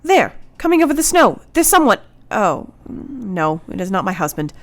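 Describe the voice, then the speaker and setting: A woman's voice: mid-range voice, very animated, moderate pace. An actress playing an earnest, brave married woman under a vampire's curse in a radio play, with formal period phrasing. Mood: anxious, urgent. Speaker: actor. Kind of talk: radio drama acting.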